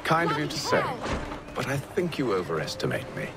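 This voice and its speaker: A composed gentleman in a video game, mid-pitched with lively inflection, speaks tersely and with dry reserve. Loud music swells behind him. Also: a little fast, gravelly. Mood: reserved.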